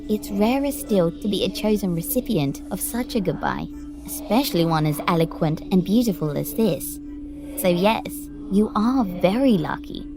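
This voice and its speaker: A woman voices a poised, gracious figure who speaks in formal, gently teasing sentences to someone in grief. Her pitch sits mid-range for a woman. Soft music plays beneath her in this audio drama. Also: lightly acted. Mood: gentle. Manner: formal, gently wry phrasing. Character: gracious, composed woman gently guiding a grieving poet. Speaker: Victoria. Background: music score.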